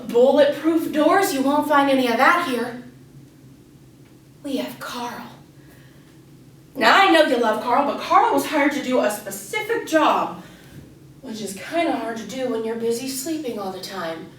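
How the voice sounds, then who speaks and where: A woman performing an intense, falsely sweet schoolteacher who addresses children in a theatrical monologue with crisp diction and dramatic pauses. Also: high-pitched, lively intonation. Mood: urgent, alarmed. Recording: echoey room, distant mic, faint noise.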